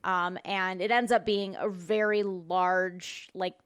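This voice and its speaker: A woman's voice: on the low side, level tone, takes it slowly, fairly bright tone, smooth, no room sound, studio quality. A woman with a Midwestern accent co-hosting a podcast, summarizing things bluntly and swearing casually. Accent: Midwestern American. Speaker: podcast co-host. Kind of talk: casual chat.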